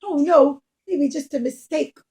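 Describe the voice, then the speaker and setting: A high, exclamatory young mother from a children's puppet show, acted by a woman storyteller. She wears every feeling openly, sighing and pleading as she speaks. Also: a little slow, dark tone. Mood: worried, pleading. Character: anxious, overjoyed expectant mother, puppet.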